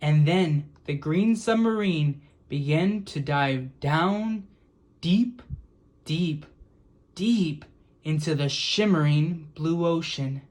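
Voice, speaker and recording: A woman narrates a storybook for children with a lowish voice. She takes her time over every phrase, reading expressively and very deliberately. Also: darkish tone. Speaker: children's book reader. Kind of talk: storybook read-aloud narration.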